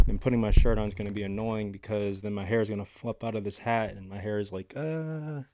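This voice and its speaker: Laid-back low-voiced guy streaming. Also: moderate pace, a little mellow. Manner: laid-back, rambling, lots of filler words. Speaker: livestreamer. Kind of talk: casual chat with live viewers. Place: at home.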